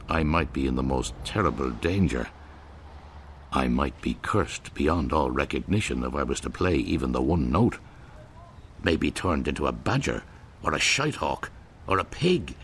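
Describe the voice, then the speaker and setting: Man, radio drama narrator, wry storytelling, very low voice. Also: slight background noise.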